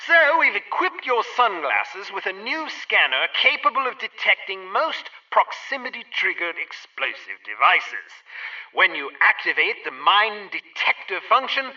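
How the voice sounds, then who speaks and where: High, sharp-voiced gadget briefer. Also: male voice, big pitch swings, moderate pace, full character voice. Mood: precise, instructive. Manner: precise, instructional. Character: enthusiastic male spy gadget briefer. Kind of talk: scripted technical briefing in a game. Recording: dull, distant lo-fi sound.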